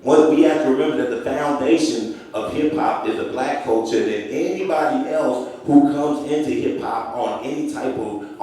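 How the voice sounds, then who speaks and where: A man's voice: mid-range voice, mellow. An emphatic man in a mid-range voice speaks, restarting his sentences as he goes. He is heard from a distance in a heavily echoing room. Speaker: guest speaker. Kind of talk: open discussion at a community event. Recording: very echoey, away from the mic.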